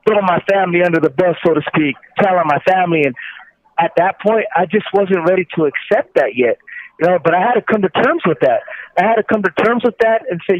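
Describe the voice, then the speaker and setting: Over a narrow, tinny telephone line, a man with a high-pitched voice gives a casual, earnest testimonial. He repeats short phrases for emphasis, and the sound is dry with no room around it. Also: no room sound. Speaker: guest calling from prison. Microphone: telephone handset. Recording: tinny prison phone line.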